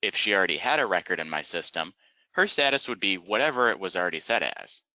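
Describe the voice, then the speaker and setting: A man narrating an instructional webinar as a software trainer, explaining methodically step by step in a close, dry, slightly dull recording. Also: low-pitched, lively intonation, quick pace, dry recording.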